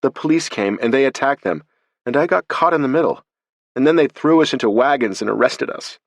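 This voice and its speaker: A man's voice: mid-range voice, lively intonation, normal speed, a bit bright, dry recording, studio quality. A man narrating a story voices a frightened, injured young woman who pleads urgently and breathlessly. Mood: distraught.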